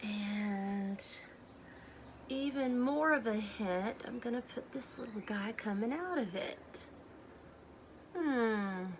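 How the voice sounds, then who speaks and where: A woman reading a storybook aloud to children, speaking in a deliberate, simple way. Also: on the high side, a little hiss or hum. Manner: deliberate, simple phrasing addressed to young children. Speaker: children's read-aloud host.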